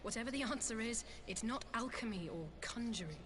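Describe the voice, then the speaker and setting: Actress as higher-voiced, worried young woman scholar. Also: lively intonation, a little fast, noisy background, close to an everyday voice. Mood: anxious, downcast. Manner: earnest, formal wording. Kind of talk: video game cutscene voice acting. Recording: game audio with music behind.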